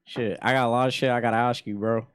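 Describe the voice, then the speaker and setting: A young man co-hosting a podcast, talking in animated, slangy, playful casual chat. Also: mid-range voice, fast, clean tone, no room sound. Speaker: podcast co-host.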